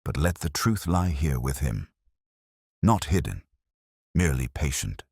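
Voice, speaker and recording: A man voicing a brooding, coolly logical Victorian detective in an audiobook, speaking gravely and deliberately. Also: a bass voice, big pitch swings, a little mellow, no echo, studio quality, full character voice. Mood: solemn, quiet.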